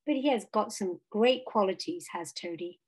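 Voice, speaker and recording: A woman's voice: medium pitch, normal speed, a little mellow, husky, lightly acted. A woman voicing a good-natured, cheerful male water rat in a storybook, chatty and emphatic.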